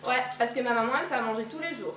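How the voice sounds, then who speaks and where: A woman's voice: fairly high pitch, racing, bright, forceful tone, slight background noise. A teenage girl playing a trusting little girl who chatters eagerly and repeats herself, in a school play. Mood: innocent. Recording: echoey hall from far off.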